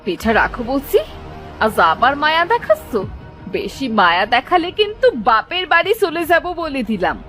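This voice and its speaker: A woman voicing a cruel village aunt in a cartoon, sharp-tongued, scolding and commanding. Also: high voice, wildly swooping pitch, moderate pace, soft background music, heavily acted. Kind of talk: melodramatic cartoon voice acting.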